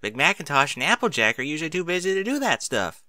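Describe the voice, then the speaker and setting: A man's voice: a little high, big pitch swings, fast, sharp tone, no echo, studio quality, voice pushed up, exaggerated voice. A man voicing a young pony filly, eager, stubborn and cheeky, with folksy informal talk, in a story reading. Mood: indignant protest.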